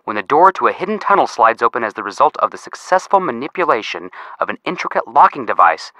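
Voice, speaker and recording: A brash young male detective dropping hints in a video game. His voice is bright and forceful, in a dry close recording. He jokes his way along with exaggerated emphasis. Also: medium pitch, fast, no echo. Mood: excited, mock-urgent. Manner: animated, joking, exaggerated emphasis. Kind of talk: video game hint dialogue.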